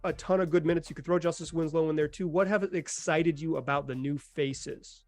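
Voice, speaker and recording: Higher-voiced man, casual sports podcast host. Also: steady tone, brisk, a little mellow, dry recording, soft background music. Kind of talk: casual sports talk and analysis.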